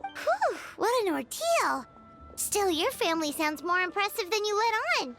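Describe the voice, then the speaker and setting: Squeaky, very high, excitable little companion. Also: female voice, unhurried, music in the background, exaggerated voice. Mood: amazed. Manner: exclamatory, inquisitive. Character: tiny excitable childlike companion, curious and blunt. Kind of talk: video game dialogue acting.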